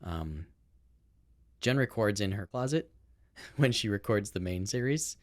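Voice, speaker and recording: A man with a low voice co-hosts a podcast. He talks casually, telling things in a loose, rambling way into his own microphone. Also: lively intonation, measured pace, no echo, studio quality. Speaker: podcast co-host. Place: house room with improvised soundproofing. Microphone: own podcast microphone on a boom arm.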